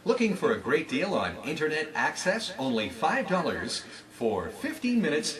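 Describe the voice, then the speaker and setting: A man's voice: fairly high pitch, wildly swooping pitch, moderate pace, distant mic, a crowd in the background. A man playing an upbeat cruise director and store announcer, giving cheery, formal promotional announcements. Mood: brisk, selling. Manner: formal greeting, cheery sales patter. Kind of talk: promotional public-address announcement.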